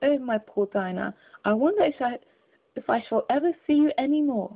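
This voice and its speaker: A woman's voice: fairly high pitch, animated, fairly quick, vocal fry, pitched above the performer's own voice. A woman voicing a curious, polite young girl in a storybook reading, earnestly talking to herself. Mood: melancholy. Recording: tinny phone-like audio.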